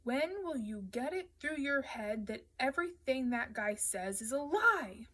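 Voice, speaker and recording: A woman's voice: fairly high pitch, lively intonation, moderate pace, fairly bright tone, breathy edge. A woman voicing a small, protective, exasperated adolescent boy in an audiobook story, earnest and defensive.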